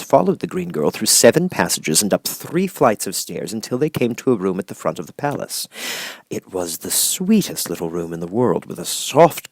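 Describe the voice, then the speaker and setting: A man with a fairly low voice narrates a children's storybook. He reads from the script in measured, clearly phrased sentences, as an audiobook narrator. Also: dry recording.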